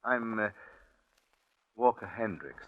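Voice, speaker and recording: A man acting a scheming, smooth-talking swindler in a 1940s radio suspense drama, telling his story in a confiding, polite way. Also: lowish voice, expressive, takes it slowly. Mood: polite, probing. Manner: confiding first-person storytelling, polite in dialogue. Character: scheming, smooth-talking male swindler confessing his long-shot con. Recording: dull 1940s radio recording, music behind.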